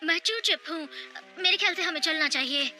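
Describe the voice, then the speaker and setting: A timid little jungle creature. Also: female voice, very high-pitched, over music. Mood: nervous. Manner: frightened, hurried. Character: small timid young animal, nervous.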